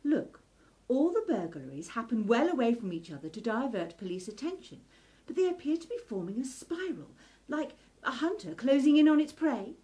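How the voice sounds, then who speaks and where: Woman playing a high-voiced, clever young lady sleuth. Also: sing-song, moderate pace, mellow. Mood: analytical. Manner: precise, articulate, explanatory. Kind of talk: steampunk radio play acting.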